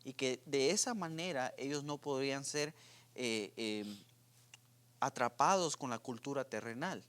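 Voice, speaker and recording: A man from Guatemala hosting a youth faith podcast, talking through ideas with many pauses and restarts. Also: mid-range voice, a bit bright. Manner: hesitant, many fillers and restarts. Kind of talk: casual faith discussion.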